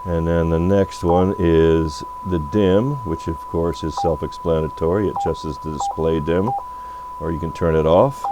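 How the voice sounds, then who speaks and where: Low-voiced man, noisy hum behind. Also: steady tone, a little slow. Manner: off-the-cuff, thinking aloud. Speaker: radio repair bench presenter. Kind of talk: unscripted hands-on product demo. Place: electronics repair workbench. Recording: noisy recording with electrical hum.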